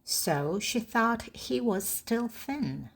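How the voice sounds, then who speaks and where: A woman reading a children's story aloud in short, deliberate phrases for language learners. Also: on the high side, animated, gravelly, husky. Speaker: storybook narrator.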